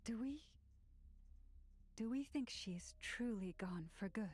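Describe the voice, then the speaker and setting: A woman's voice: on the high side, animated, takes it slowly, darkish tone. An actress playing a composed, serious woman leader in a fantasy game. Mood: grave, composed.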